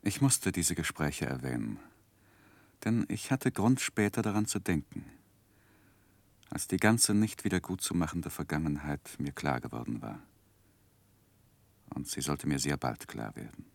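An actor playing an earnest, reserved young gentleman who recounts his past in a 1960s German radio play, measured and grave. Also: very deep voice, expressive, gravelly, dry recording, heavily acted. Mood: grave, reflective.

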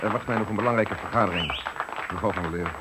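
An actor playing a busy, formally polite company boss in a radio play. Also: male voice, fairly low pitch, quick pace, lightly acted. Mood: formal, hurried. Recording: loud background noise.

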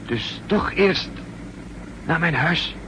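A man's voice: lively intonation, takes it slowly. An actor playing an uneasy, cowardly traitor in a 1960s radio drama, polite and hesitant with his questions. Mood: nervous, questioning. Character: anxious male traitor, uneasy driver full of questions. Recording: noisy old radio recording, car rumble.

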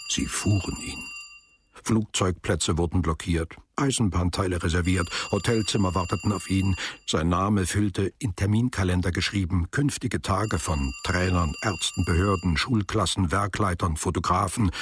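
Deep-voiced male literary narrator. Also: a little hiss or hum. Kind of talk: literary scripted narration for radio drama.

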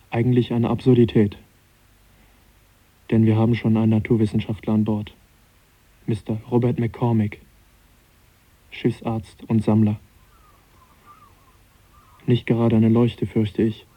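A man playing a polite, reserved young English naturalist in a German radio play, measured and understated. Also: lowish voice, dark tone, slightly rough, rough recording. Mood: reflective, dry. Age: young adult. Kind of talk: German radio play acting with diary-like narration.

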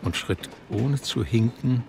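A man's voice: mid-range voice, slow pace, vocal fry, background noise. A man narrating a literary radio play from a script.

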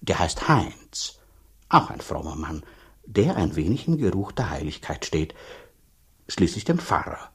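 A blunt, condescending country dean in a radio drama. The voice is low and husky and swings widely in pitch. He talks on emphatically, haltingly, repeating himself with open scepticism. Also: big pitch swings, exaggerated voice. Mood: confiding, dismissive. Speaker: actor in a radio play. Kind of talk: German radio drama acting.

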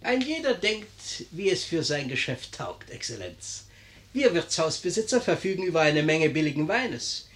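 A sly, obsequious innkeeper in an old German radio comedy. The voice sits fairly high and swings in lively curves. He is deferential and flattering, with gentle irony and a bright edge. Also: normal speed. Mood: slyly deferential. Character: servile, sly, cheerfully unflappable Italian innkeeper, man.

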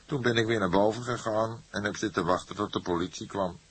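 A man's voice: fairly low pitch, fairly quick, husky, pitched above the performer's own voice, low-quality recording. A man voicing an agitated, defiant young murder suspect in an audiobook, speaking emphatically and with emotion. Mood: upset, explaining. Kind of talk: acted audiobook dialogue.

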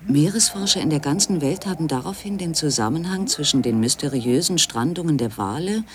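Higher-voiced male documentary narrator. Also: expressive, brisk, a little hiss or hum. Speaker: radio feature narrator.

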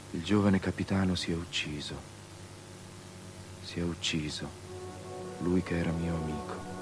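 A very low, grieving friend. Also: male voice. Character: grieving, devoted male friend of a dead soldier. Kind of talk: poetic theatrical radio drama monologue. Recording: radio drama with music underneath.

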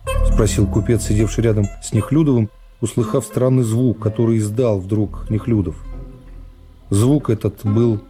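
A man's voice: on the low side, fairly even intonation, normal speed, over music. A man narrating classic literary prose for radio, giving it a scripted, dramatic reading.

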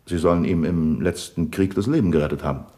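An actor playing a dry, probing police commissioner in a 1970s radio play. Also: male voice, deep voice, quick pace, mellow, natural voice. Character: shrewd, dry police commissioner, middle-aged man.

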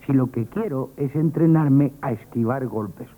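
A male actor plays a scared young shop lad in a Spanish radio comedy, with a Spanish accent. He is hesitant and comically timid, at a middle pitch. The old broadcast sounds thin and tinny. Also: measured pace, close to an everyday voice. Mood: nervous, pleading. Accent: Spanish, from Spain. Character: timid, comically frightened young shop boy, Madrid working-class. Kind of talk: 1970s Spanish radio comedy theatre acting.

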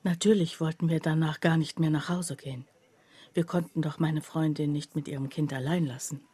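A woman acting in a radio play as a reflective friend who narrates in a confiding, measured way. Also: low voice, fairly even intonation, mellow, slightly rough. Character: reflective woman narrator, loyal, envious friend of accused mother.

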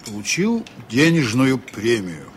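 An actor playing a seasoned, honest sea captain in a reflective, measured way, in a 1980s Soviet radio drama. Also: male voice, mid-range voice, wildly swooping pitch. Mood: reflective, sincere. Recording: noisy old radio play recording.

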